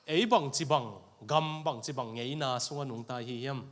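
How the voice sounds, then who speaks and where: A man's voice: medium pitch, normal speed. A man interpreting a sermon phrase by phrase in a church, repeating each line right after the preacher. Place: church sanctuary.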